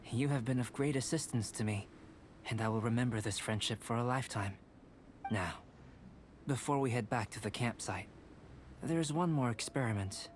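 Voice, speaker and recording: An actor voicing a calm, erudite young male alchemist who speaks in a measured, articulate, academic way. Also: medium pitch, slight background noise, lightly acted. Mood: assured. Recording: studio game voice with clear music.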